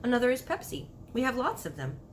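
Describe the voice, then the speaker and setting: A woman reader plays a small storybook girl, curious and polite. She questions patiently, her pitch moving in a lively way. There is noise behind the voice. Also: fast.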